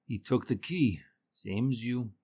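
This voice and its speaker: A male voice playing a calm, cryptic keeper of an otherworldly store, patient and terse, with a husky tone. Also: medium pitch, unhurried, no room sound. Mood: flatly grave.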